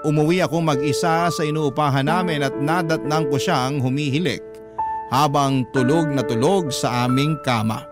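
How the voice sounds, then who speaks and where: A man reads a listener's love story in the first person as the narrator of a radio drama. His voice is medium-high, and loud music plays under him. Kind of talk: scripted narration.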